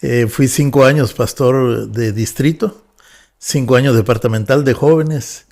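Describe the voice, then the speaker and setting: A man in his fifties from northwest Mexico with a Mexican Spanish accent, a pastor talking calmly as a podcast guest and pausing often on "eh". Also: mid-range voice, gravelly. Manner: measured, with frequent "eh" fillers. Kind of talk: relaxed podcast interview.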